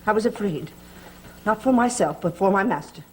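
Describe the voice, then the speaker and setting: An actress playing a devoted, scheming manor servant with a solemn, formal, submissive way of speaking, in a gothic soap. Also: female voice, mid-range voice, animated, normal speed, a little hiss or hum, lightly acted, low-quality recording. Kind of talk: 1960s gothic soap opera acting. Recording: old TV soundtrack with background score.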